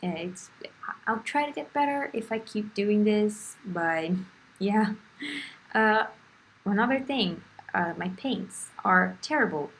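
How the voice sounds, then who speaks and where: A young woman from Brazil speaks English with a clear Brazilian Portuguese accent. She chats casually, restarts her sentences and laughs often at her own mistakes. Her voice sits at a middle pitch for a woman. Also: lively intonation, slow pace, fairly bright tone, poor audio. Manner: chatty, self-deprecating, laughs often, many restarts. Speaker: art vlogger. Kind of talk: casual voice-over narration of a craft video. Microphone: phone.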